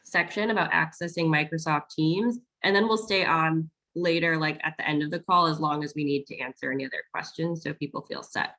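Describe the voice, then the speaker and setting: Low-voiced woman hosting a video call. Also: fairly even intonation, fairly quick, few pauses, pushed and bright, low-quality recording. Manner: friendly, filler-heavy explaining. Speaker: onboarding staff host. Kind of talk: casual virtual town hall.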